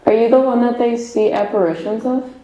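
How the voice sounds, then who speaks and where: A woman's voice: a little high, steady tone, brisk, mellow, dull audio. A young woman, a paranormal investigator, chatting casually and rambling with lots of fillers and giggles. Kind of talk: casual chat on a ghost hunt. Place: old basement room with echo. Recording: echoey basement room.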